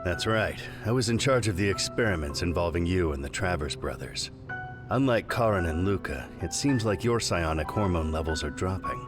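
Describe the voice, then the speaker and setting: A man's voice: sits low, expressive, moderate pace, over music. An actor playing a detached, condescending middle-aged male scientist in a video game, speaking in a clinical, matter-of-fact way. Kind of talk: scripted video game cutscene acting.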